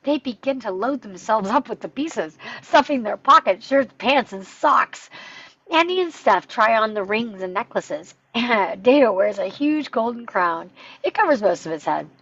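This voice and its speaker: Female narrator for script stage directions, raspy edge, medium pitch, slightly muffled lo-fi recording. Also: animated, measured pace, a bit bright. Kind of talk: scripted narration at a table read.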